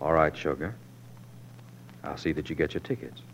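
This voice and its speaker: A man's voice: a bass voice, animated, normal speed, a little hiss or hum, low-quality recording. An actor playing a restless, grandiloquent frontier newspaperman and husband in the florid manner of 1940s radio drama. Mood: stern. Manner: theatrical, coaxing, florid. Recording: old 1940s radio recording.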